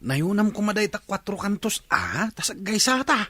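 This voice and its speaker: Man acting a carefree young village bachelor, lively and high-pitched. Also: normal speed, no echo, exaggerated voice. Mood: jovial scheming. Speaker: actor. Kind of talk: comedy radio drama.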